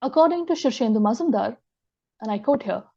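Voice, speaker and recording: A woman giving a scholarly lecture, reading from her text in a measured way and quoting sources aloud. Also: fairly high pitch, lively intonation, warm tone, muffled recording. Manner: measured, reads quotations aloud. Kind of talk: reading an academic talk.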